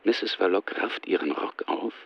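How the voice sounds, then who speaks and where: A man's voice: sits low, measured pace, creaky, lo-fi sound, background noise. A man reading terse scripted narration of the action in a radio play, sober and understated.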